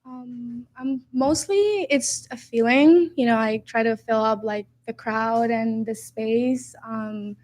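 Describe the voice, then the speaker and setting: A woman's voice: fairly high pitch, slow, hardly any pauses, a little mellow. A young woman from Honduras, a DJ and producer guest, talking casually and hesitantly with many fillers. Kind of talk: casual interview chat.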